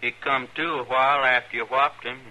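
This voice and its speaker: An actor playing a callous, obedient young frontier son, folksy and surly, in an old radio western. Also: male voice, medium pitch, level tone, a little fast, bright, forceful tone, highs cut off. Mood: dutiful. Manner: folksy, matter-of-fact, surly. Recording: noisy old radio recording.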